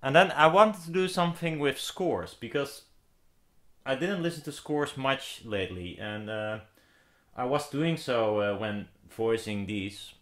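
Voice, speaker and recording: A man's voice: medium pitch, expressive, a little slow, fairly bright tone. A man in his thirties chatting casually and hesitantly, thinking aloud as he presents a demo. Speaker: DIY loudspeaker builder presenting a listening demo. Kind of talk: casual, unscripted chat. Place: living room with speakers, indoors. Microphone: camera a few metres away. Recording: roomy echo, slap delay.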